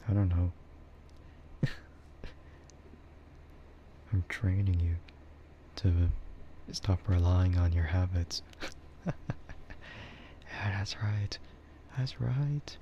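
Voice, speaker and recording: Deep voiced guy streamer, dark mellow tone, soft relaxed yapping to chat, kinda dull lofi audio. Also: a little hiss or hum. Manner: relaxed, soft-spoken ASMR yapping, often chuckling. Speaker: streamer, VTuber host. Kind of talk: casual, rambling livestream chat with viewers.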